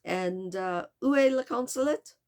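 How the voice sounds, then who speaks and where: A woman's voice: medium pitch, expressive, measured pace, darkish tone, pitched above the performer's own voice. Woman doing a polite French manservant, stuffy-nosed. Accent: attempted French. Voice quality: congested nose.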